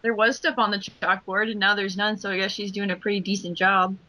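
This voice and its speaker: A woman's voice: medium pitch, little pitch movement, a little fast, bright, forceful tone, dry recording. A young woman, a voice actress, chatting casually on a commentary track.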